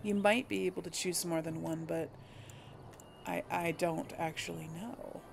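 A woman's voice: fairly low pitch, fairly even intonation, unhurried, gravelly. A woman doing casual game commentary, thinking aloud in a tentative way. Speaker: gaming video host and player. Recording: some background noise.

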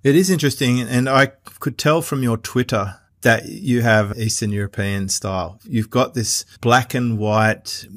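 An Australian man hosts an interview in a conversational way. His voice sits at a middle pitch, recorded very dry in a small booth. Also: unhurried, hardly any pauses, a bit bright, no room sound. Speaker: podcast host, interviewer.

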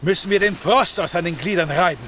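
A man's voice: high voice, brisk, a bit bright. A man playing an urgent Nordic warrior in an audio drama, with noisy effects under his voice. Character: urgent Nordic warrior tending a fallen comrade.

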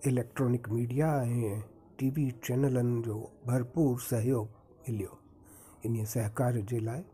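Narrator, male, very dark and mellow tone, steady formal read. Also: medium pitch, a little hiss or hum. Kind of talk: scripted narration.

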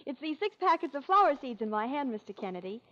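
A woman's voice: high voice, very animated, fast talker, a bit bright. A woman playing a cheerful, eager helper in a scripted 1940s radio advertisement. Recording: dull old radio recording.

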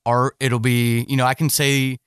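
A man's voice: mid-range voice, level tone, moderate pace, a bit bright, smooth, no room sound, studio quality. A man hosting a podcast interview in a casual, earnest and encouraging way.

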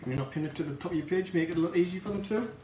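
A man's voice: high voice, rapid-fire, distant mic, noisy background. A man explaining a recipe as he mixes, practical and matter-of-fact. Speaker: guest baker. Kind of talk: explaining a recipe while working. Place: large home-style kitchen. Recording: echoey kitchen from off-mic.

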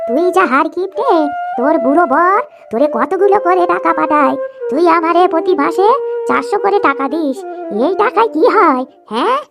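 A woman's voice: very high voice, expressive, moderate pace, vocal fry, over loud music, exaggerated voice. A woman voicing a boastful, scheming old village mother, drawling and scolding in rustic dialect for a cartoon. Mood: indignant, scolding.

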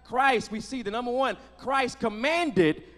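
A man's voice: extremely high pitch, lively intonation, unhurried, big-room sound. An American man in his thirties preaching a sermon into a handheld microphone in a church, emphatic, with repetition and rhetorical questions. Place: church sanctuary at a pulpit.

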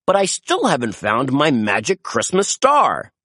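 Jolly old father christmas type done by a storybook reader, hearty, husky, pitch swinging all over. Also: wildly swooping pitch, measured pace, sharp tone, no room sound, clean studio sound, heavily acted. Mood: concerned. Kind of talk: children's storybook character reading.

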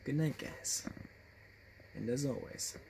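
A young man telling casual stories to camera in an animated, acted-out way, recorded on a phone in a bedroom. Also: medium pitch, takes it slowly, vocal fry, noisy background. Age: young adult. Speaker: vlogger telling personal stories. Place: small bedroom, lying in bed. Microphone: phone at arm's length.

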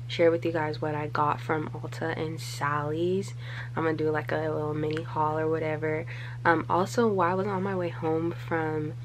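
A young woman with a low voice and gravelly fry chats to a close camera in her bedroom. She is candid and loose, trailing off and restarting between thoughts. A faint hum sits under the room. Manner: chatty, candid, lots of fillers and trailing thoughts. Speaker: vlogger. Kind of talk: casual vlog talk to camera. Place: small bedroom, indoors.

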